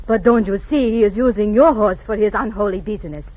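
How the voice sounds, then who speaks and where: An actress playing a devout, fiery, strong-willed Californio ranch wife, emphatic and dramatic in 1940s radio style. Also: female voice, mid-range voice, brisk, lightly acted. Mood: indignant. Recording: muffled vintage radio recording.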